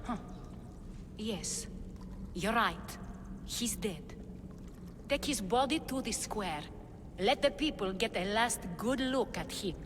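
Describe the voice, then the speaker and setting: A woman's voice: medium pitch, lively intonation, takes it slowly, long pauses, background noise. An actress playing a proud, commanding female leader in a video game, with a poised, dramatic delivery. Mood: grave. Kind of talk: video game cutscene acting.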